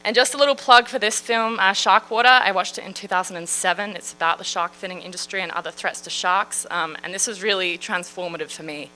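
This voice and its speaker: Bright-voiced Australian woman giving a talk. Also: medium pitch, fast, hardly any pauses, a little hiss or hum, poor audio. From Western Australia. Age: 30s. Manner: friendly, chatty, with frequent fillers. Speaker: marine scientist. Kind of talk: public science talk.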